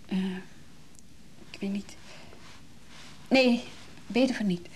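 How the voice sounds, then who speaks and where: A woman's voice: medium pitch, takes it slowly, a little hiss or hum, natural voice. An actress playing a hurt, disillusioned woman in a radio play, nervy and brooding, speaking in hesitant, broken phrases. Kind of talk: naturalistic Dutch radio drama dialogue.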